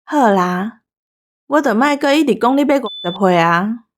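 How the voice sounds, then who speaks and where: A woman with a Taiwanese accent chatting as a co-host in a scripted, teasing, lively way. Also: fairly high pitch, normal speed, slight background noise. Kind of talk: scripted casual chat.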